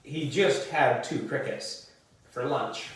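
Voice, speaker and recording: A man's voice: medium pitch, slow, lightly acted. A man voicing a friendly, obliging pet shop seller in a children's storybook. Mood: playful. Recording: distant mic in echoey room.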